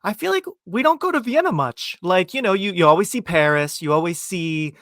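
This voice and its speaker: An American man with a somewhat high voice chats as a podcast host in an enthusiastic, informal way, often saying "like". The sound is dry and close, with very little room in it. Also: animated, brisk, fairly bright tone, dry recording. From New Jersey, United States. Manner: chatty, enthusiastic, uses "like" often. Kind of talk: casual chat.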